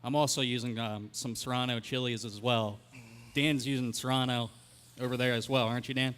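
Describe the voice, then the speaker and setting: Smooth, flat-toned chef. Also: male voice, medium pitch, a little fast. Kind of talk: live cooking demonstration.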